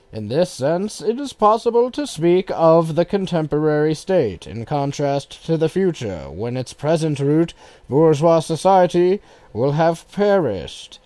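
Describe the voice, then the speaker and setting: A man reading formal written passages for an audiobook in an expressive way. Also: fairly high pitch, dry recording. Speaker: audiobook reader of quoted passages. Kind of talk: scripted narration, reading quotations.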